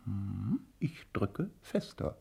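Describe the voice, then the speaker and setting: A man's voice: lowish voice, sing-song, slow pace, vocal fry, mellow, breathy edge, exaggerated voice. An actor playing a pedantic, curious male professor in a German radio crime drama, musing as he talks. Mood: absorbed, probing.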